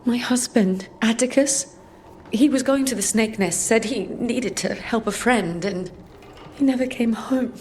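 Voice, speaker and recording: An actress playing a worried, grieving wife in a video game, speaking haltingly and with emotion. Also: female voice, on the high side, darkish tone, slight background noise, lightly acted. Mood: anxious, pleading. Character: worried wife searching for her missing husband, grief-stricken. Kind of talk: video game drama acting.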